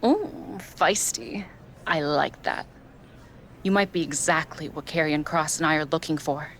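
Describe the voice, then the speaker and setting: A woman's voice: low voice, unhurried, a bit bright, slight background noise. An actress playing a menacing, seductive female villain wrestler in a video game, with a sly, taunting manner. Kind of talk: scripted video game cutscene acting.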